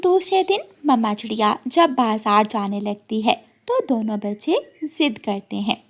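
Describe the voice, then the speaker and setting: A woman's voice: a little high, very animated, unhurried. A woman narrating a scripted moral story for children as the storyteller.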